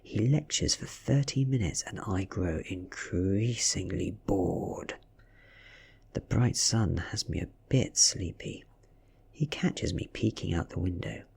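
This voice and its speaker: Male British ASMR reader: very husky, gravelly, soft-spoken storytelling that drifts into whispers. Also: sits low, slow. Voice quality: breathy, often slipping into a whisper.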